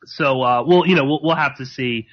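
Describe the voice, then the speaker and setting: A man hosting live radio alone, rambling and halting with many fillers, a slight sore throat in his voice. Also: mid-range voice. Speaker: podcast host. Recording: dull lo-fi.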